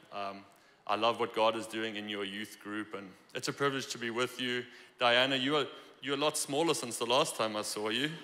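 A young man with a South African accent preaching a joking, animated sermon on a church stage into a handheld mic. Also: medium pitch. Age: young adult. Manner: joking, animated, gestures freely while preaching. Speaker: guest preacher. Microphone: handheld microphone. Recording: long hall reverb.

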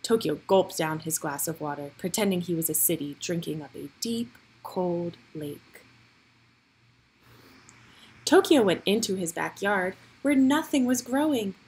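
Female storybook reader, medium pitch, slightly breathy husky tone, expressive read-aloud for young children.

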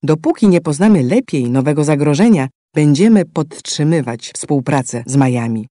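Solemn guy reading fantasy game story, warm mellow voice, dry studio sound. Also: a little high, expressive, dry recording. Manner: solemn, measured. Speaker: narrator. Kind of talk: scripted dramatic game story narration. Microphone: close studio microphone.

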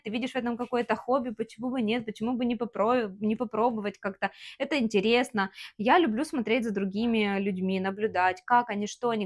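Frank Ukrainian woman ranting at camera. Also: fairly high pitch. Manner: frank, ranting and gesturing, many fillers. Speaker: vlogger. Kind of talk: casual talk to camera. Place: home kitchen, indoors. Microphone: lapel mic.

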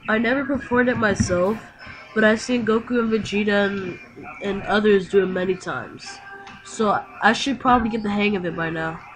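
A woman improvising roleplay dialogue and narration for a Minecraft video in a halting way. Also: on the high side, rough recording.

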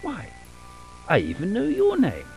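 A man's voice: high-pitched, huge pitch swings, vocal fry, a little mellow, soft background music, heavily acted, low-quality recording. Man acting a swooping, soothing storybook teller. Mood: coaxing, reassuring. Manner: slow, drawn-out, theatrical storytelling. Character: soothing storybook narrator and coaxing goofy sleep fairy. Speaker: narrator of a children's bedtime story film. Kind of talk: slow storybook narration and character voices.

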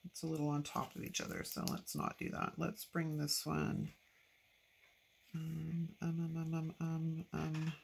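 Low, gravelly stuffy-nosed woman. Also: a little slow. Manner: chatty, talks to herself while working. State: stuffy nose from allergies. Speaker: planner decorating vlogger. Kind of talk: casual chatty crafting narration. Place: at a craft table, filmed from overhead. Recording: noticeable background noise.

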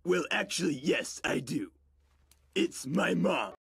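A man voicing a nerdy know-it-all male professor, with a croaky, husky, squeaky voice and smug, drawn-out answers. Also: big pitch swings, fairly bright tone, no room sound, studio quality, heavily acted.

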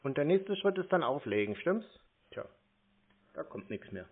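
A man's voice: a little high, big pitch swings, normal speed. A man talking bluntly and sarcastically in a confrontational phone conversation. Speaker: scam-baiter prank call host. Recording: tinny narrow phone-like sound.

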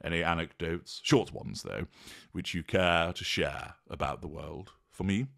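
A man voicing Death, an ancient male grim reaper who speaks in a formal, measured way with dry exasperation. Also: low-pitched, gravelly, a bit bright, exaggerated voice. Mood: solemn.

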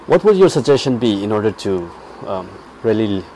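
A man from Nagaland hosting an interview, asking questions and giving soft murmurs of agreement. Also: lowish voice, big pitch swings, brisk, a little hiss or hum, low-quality recording. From Nagaland, Northeast India. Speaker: interviewer, show host. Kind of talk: interview conversation.

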